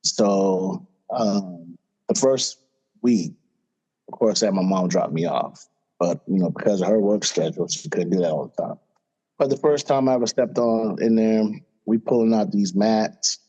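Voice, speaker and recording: A middle-aged man from Florida talks through his past in an easygoing podcast chat. His voice sits low and mellow, and he leans on fillers as he goes. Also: moderate pace, breathy edge, muffled recording. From Florida, USA. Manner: relaxed storytelling with fillers. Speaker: head wrestling coach, guest. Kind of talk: casual interview talk.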